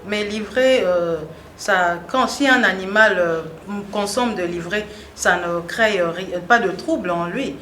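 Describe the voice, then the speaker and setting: Interviewer: woman, mid-pitched, attentive and conversational, roomy echo. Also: measured pace, a bit bright. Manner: attentive, frequent short acknowledgements, rephrases answers. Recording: echoey room, faint background noise.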